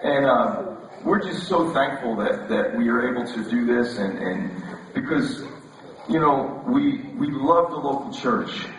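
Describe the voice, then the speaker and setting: Scratchy-voiced preacher in an echoing hall. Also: male voice, mid-range voice, lively intonation, a little slow, crowd noise. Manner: casual and joking, repeats words as he restarts. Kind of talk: relaxed, conversational sermon. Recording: echoey hall, voice from far off.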